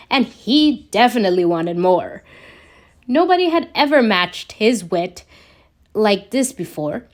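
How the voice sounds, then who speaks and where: A woman reading a scripted piece of fan fiction aloud as a storyteller. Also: medium pitch. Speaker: podfic narrator. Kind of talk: scripted fan fiction narration, read slowly.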